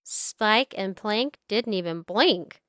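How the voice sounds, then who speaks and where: A woman narrating a children's storybook aloud in an expressive, dramatic storytelling style. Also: medium pitch, slow, clear, resonant tone, dry recording, studio quality. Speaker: storybook reader.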